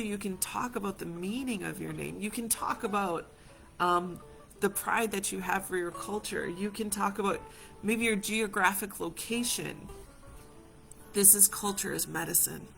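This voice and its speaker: Reassuring woman over soft guitar music. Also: medium pitch, gravelly. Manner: reassuring, repeats phrases for emphasis. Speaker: community healer hosting a live session. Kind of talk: gentle reflective guided talk to viewers.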